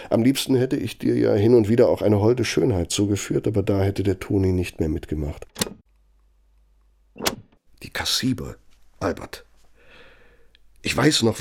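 A deep, husky older man in a radio drama. He is a self-important architect who talks with pompous, insinuating weight and a bitter edge. His low voice crackles with gravel. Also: darkish tone. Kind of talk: German radio play acting, monologue and dialogue.

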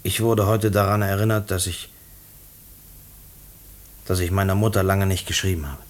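An actor playing a desperate, stubborn condemned prisoner in a German radio drama, halting and earnest as he pleads. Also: sits low, fairly even intonation, natural voice. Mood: subdued, halting. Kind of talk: German post-war radio drama acting.

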